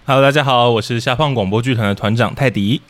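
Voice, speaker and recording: A man in his twenties with a Taiwanese Mandarin accent is a guest in a casual interview. His pitch is average for a man, and he speaks thoughtfully, with some hesitation. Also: quick pace. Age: 20s. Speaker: podcast guest, audio drama troupe leader.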